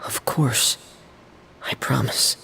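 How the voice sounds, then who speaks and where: A man's voice: slow, bright, forceful tone, a little hiss or hum. A man voicing a withdrawn, gloomy but loyal young boy in a video game, subdued and terse.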